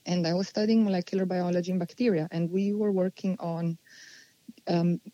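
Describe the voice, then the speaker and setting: A woman, answering interview questions unhurriedly and pausing as she thinks. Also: lowish voice, steady tone, mellow, dry recording, lo-fi sound. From Slovenia. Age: 40s. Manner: unhurried, thoughtful, with many pauses and restarts. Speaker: guest.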